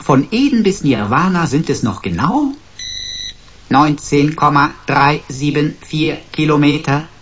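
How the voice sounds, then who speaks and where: A cheeky computer sidekick for a sci-fi radio play. The delivery is pompous and sarcastic, full of sweeping, mock-servile flourishes at a medium pitch. The sound is a little muffled, with faint noise behind it. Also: male voice, expressive, unhurried, a bit bright. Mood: mock-servile, smug.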